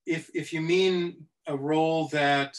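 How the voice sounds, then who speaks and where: Measured middle-aged man actor, slightly high voice. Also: steady tone, background noise. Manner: measured, hesitant, many restarts. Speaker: actor on a panel. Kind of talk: thoughtful panel answer.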